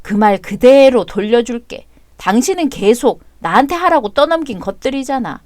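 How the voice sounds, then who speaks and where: A woman voicing a calm, sharp-tongued mother who answers bluntly and mockingly. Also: a little high, moderate pace, dry recording, studio quality, close to an everyday voice.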